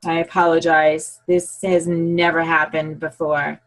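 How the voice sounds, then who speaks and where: A woman's voice: low-pitched, level tone, unhurried, poor audio. A woman hosting an online talk show, encouraging and affirming her callers. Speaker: host. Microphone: computer video call.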